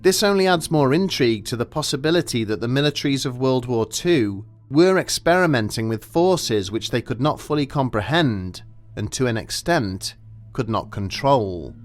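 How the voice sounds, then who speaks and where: A man narrating a scripted documentary story in a measured, grave and formal manner. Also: mid-range voice, lively intonation, soft background music.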